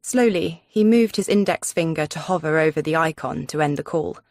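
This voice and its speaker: A woman's voice: low-pitched, fairly quick, darkish tone, no echo, clean studio sound. A woman narrating a suspense audiobook in the first person.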